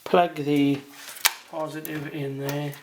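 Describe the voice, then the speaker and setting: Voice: mid-range voice, level tone, takes it slowly, noisy background. A hobbyist presenter giving a casual beginner's how-to, talking plainly and without hurry through each step while working.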